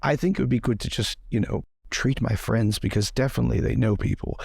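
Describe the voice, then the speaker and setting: A man's voice: mid-range voice, fairly quick, gravelly, a little mellow, slightly rough, no room sound, clean studio sound. A man playing a breezy, entitled male socialite who rambles and wheedles chattily. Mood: wheedling.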